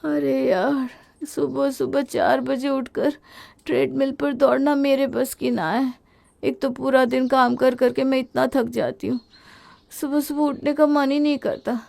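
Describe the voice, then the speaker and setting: A woman's voice: high-pitched, steady tone, a little slow, vocal fry, a little mellow, exaggerated voice. A woman voicing a headstrong young Indian housewife in a family story, exclamatory, complaining and defensive. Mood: weary, grumbling. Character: headstrong gadget-obsessed young Indian housewife, easily fed up. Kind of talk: dramatized Hindi family story.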